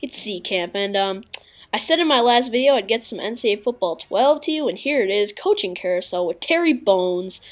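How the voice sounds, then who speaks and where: A woman's voice: mid-range voice, animated. Congested woman casually commenting on gameplay. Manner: casual, halting, many "uh" fillers. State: congested, sniffling. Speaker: gaming video creator.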